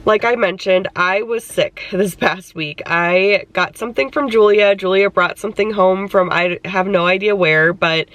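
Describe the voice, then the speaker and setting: A woman's voice: lowish voice, fairly bright tone, low-quality recording. A young adult woman vlogging casually about her weight loss, her voice stuffed up from a cold, chatty and full of fillers. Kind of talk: casual chat.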